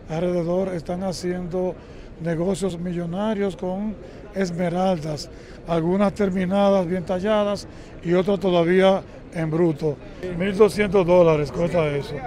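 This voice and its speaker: Curious Dominican man, high voice. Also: mellow. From Dominican Republic. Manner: curious, amazed, asks many short questions. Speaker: street interviewer. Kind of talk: casual street interview. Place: busy city plaza outdoors. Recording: busy outdoor crowd noise. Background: crowd chatter and traffic.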